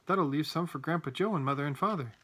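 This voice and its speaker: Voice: medium pitch, fast talker, a bit bright, lightly acted. A reader voicing an eager, polite young boy in a children's story, earnest and exclamatory. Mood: pleading.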